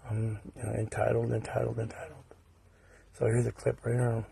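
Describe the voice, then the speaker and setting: A man from the United States with a hoarse, raspy voice, giving casual, rambling commentary in a halting, repetitive way with rhetorical questions. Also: medium pitch, completely flat delivery, mellow, a little hiss or hum, poor audio. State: hoarse after a recent stomach virus. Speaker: video narrator.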